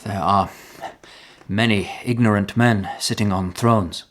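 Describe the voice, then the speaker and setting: An actor playing a weary captive young knight in a historical radio drama, halting, guarded and terse. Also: male voice, fairly low pitch, steady tone, fairly bright tone. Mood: resigned, cautious.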